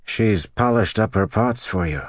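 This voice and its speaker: A plain old farmer, dazed and troubled, voiced by a male audiobook narrator. He talks hesitantly and simply, pitched somewhat above the reader's low natural voice. The recording is dry. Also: expressive, a little slow, no echo. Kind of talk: audiobook character dialogue.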